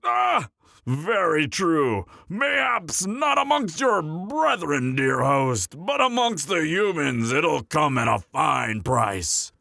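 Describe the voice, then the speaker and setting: A man voicing a boastful, bawdy male dwarf hunter with a gravelly voice, rowdy and loud. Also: fairly high pitch, big pitch swings, slow, no echo, studio quality, full character voice. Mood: boisterous. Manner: rowdy, boastful, jokey, shouts often. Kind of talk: fan audio drama roleplay.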